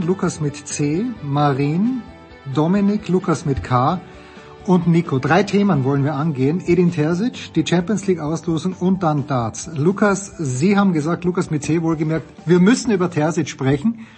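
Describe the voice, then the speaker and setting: A man's voice: fairly high pitch, a little mellow. A man with an Austrian accent hosting a casual sports talk show, joking and teasing his panel. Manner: jokey, teasing, quick moderator. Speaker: host.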